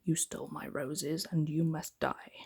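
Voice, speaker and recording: Woman acting big fierce storybook beast, stern, deliberate, breathy half-whisper, dark tone. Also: sits low, fairly even intonation, full character voice. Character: big fierce ungrateful-hating male beast, stern and menacing. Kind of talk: soft-spoken bedtime storybook reading.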